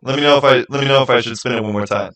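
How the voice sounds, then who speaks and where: A man speaks as the host of a livestream, in a mid-pitched voice. His delivery is casual and unscripted. He addresses his viewers directly and thinks aloud as he goes. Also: very fast, fairly bright tone.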